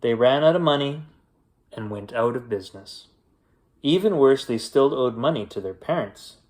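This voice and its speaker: A man narrating a children's picture book as an expressive, unhurried bedtime storyteller with deliberate dramatic pauses. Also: mid-range voice.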